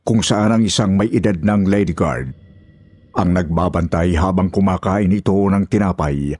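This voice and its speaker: A man with a husky voice giving scripted narration for a horror story. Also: low voice, moderate pace, a little mellow, no room sound.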